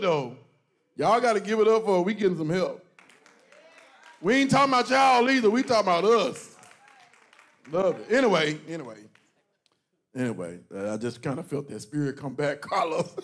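A middle-aged man, a pastor talking to his congregation from a church stage into a handheld mic. He jokes and preaches in a lively way, often breaking into loud, emphatic exclamations. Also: high voice. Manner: animated, joking, bursts into loud emphatic exclamations. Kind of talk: preachy conversational talk to a congregation.

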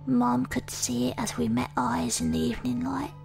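Woman playing haunted young Victorian woman, hushed near-whisper narration, very gravelly voice. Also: a little high, flat delivery, moderate pace, over music. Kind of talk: first-person audio drama narration.

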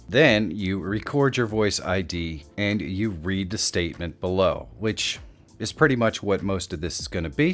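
A man hosting a software tutorial, explaining things step by step as narration. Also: sits low. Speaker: tutorial host. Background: background music.

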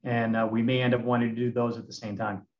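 A man with a mid-pitched voice speaks as a committee member on a video call. He is methodical and decisive, and the sound is somewhat dull and lo-fi. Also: level tone, quick pace. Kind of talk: meeting discussion.

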